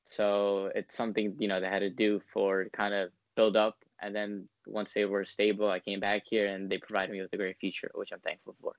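A man's voice: fairly low pitch, completely flat delivery, a little fast, few pauses, dry recording, dull audio. Young man rambling with ums. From United States. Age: 20s. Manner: rambling, lots of ums and likes. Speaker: guest. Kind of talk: casual interview.

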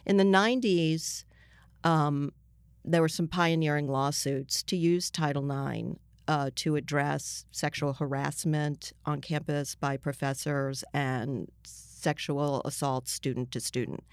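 An older American woman, a journalist being interviewed, explaining things step by step with asides in a measured way. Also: deep voice, steady tone, smooth, no echo, studio quality.

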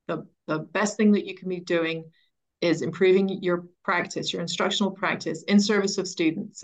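Middle-aged female interview guest, slightly low voice, conversational explainer. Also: low-quality recording. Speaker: interview guest, education coach.

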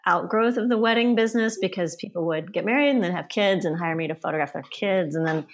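A middle-aged woman, a photographer born in Sweden and raised in the United States, talking in a casual, unhurried way with frequent pauses and restarts. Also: on the low side. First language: Polish. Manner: unhurried, hesitant, many ums and restarts. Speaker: guest, photographer. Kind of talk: casual interview chat.

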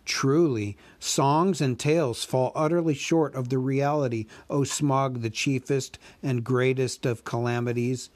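A man voicing a small, clever male hobbit who speaks politely and flatteringly in riddles. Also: medium pitch, unhurried, few pauses, no room sound, natural voice.

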